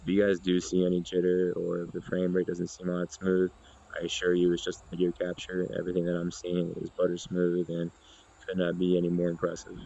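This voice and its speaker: A man narrating an informal software demonstration in a measured, explanatory way. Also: sits low, monotone, gravelly. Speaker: gaming video creator demonstrating software. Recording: dull lo-fi voice recording.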